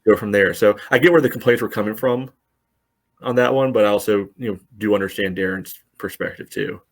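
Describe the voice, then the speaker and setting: Podcast co-host, male, low-pitched, rambling casual delivery packed with "you know" fillers. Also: fairly quick. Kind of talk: casual soccer discussion.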